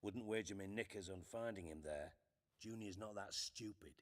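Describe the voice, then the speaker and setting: A man's voice: lowish voice, moderate pace, lightly acted. An actor playing a scheming, sardonic male spymaster in a game cutscene, delivering dry, menacing lines.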